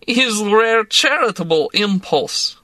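A man's voice: sits high, expressive, pushed and bright, dry recording, voice pushed up, full character voice. A man voicing a drunken young Russian actress. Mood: tipsy. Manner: slow, broken English, drunken and dramatic. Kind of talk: audiobook character voice.

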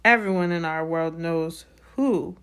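A woman voicing a gruff but kindly giant man in a storybook, blunt and uneasy, with folksy clipped words. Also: lowish voice, measured pace, fairly bright tone. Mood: anxious, reluctant. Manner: folksy, dropped-consonant dialect speech. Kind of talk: storybook character voice.

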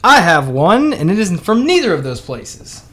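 A man's voice: high voice, very animated, moderate pace, sharp tone. A man co-hosting a comedy podcast in a casual, conversational style. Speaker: podcast co-host. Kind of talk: casual comedic podcast talk, reading out ads.

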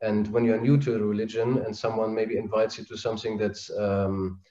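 Guy, soft dark voice, dull echoey recording, keeps searching for words in a discussion. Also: on the low side, level tone, normal speed. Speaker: interviewee, panel participant. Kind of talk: informal discussion, personal reflection.

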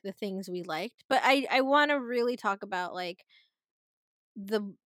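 A woman chats casually about a film with a co-host, animated and quick to laugh, often restarting her sentences. Her voice sits fairly high. The sound is dry, with no room echo. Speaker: podcast co-host. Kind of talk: casual movie review chat.

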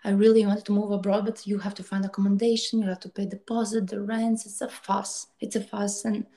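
A young woman from Latvia, telling her life story as a guest in a casual chat and acting out the voices she quotes. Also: mid-range voice. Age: young adult. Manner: quick, animated, acts out quoted dialogue.

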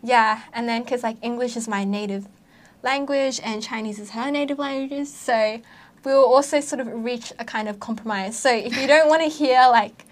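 A woman's voice: a little high, moderate pace. A young adult woman hosting a podcast in casual chat, talkative and giggly, with many "like" fillers. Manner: chatty, giggly, lots of "like" fillers. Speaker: podcast host.

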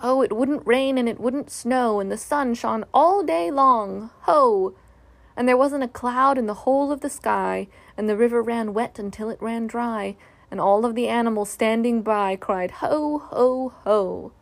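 Woman as a friendly bear, creaky and earnest. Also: on the high side, animated, no echo. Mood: merry sing-song. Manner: sing-song, drawn-out, earnest. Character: cheerful, friendly male bear who hums rhymes. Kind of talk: children's storybook character voice.

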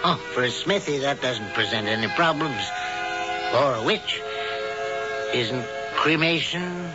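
An actor playing a sinister middle-aged man who calmly plots for his coven in a radio drama. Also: medium pitch, measured pace, highs cut off, music in the background, natural voice. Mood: scheming. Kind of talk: 1970s radio drama acting.

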